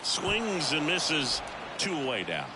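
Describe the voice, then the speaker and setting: A man's voice: fairly high pitch, wildly swooping pitch, slow, exaggerated voice. A man voicing a baseball play-by-play announcer in a video game, describing the action as it unfolds. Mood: engaged, descriptive. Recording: game broadcast under very loud noise.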